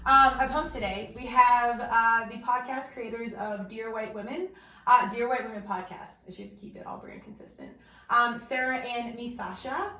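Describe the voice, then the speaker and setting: Female podcast host from Colorado, bubbly and chatty, higher voice in a roomy space. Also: sharp tone. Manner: upbeat, chatty, quick to laugh. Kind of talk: casual interview chat. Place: room, face to face. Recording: roomy echo.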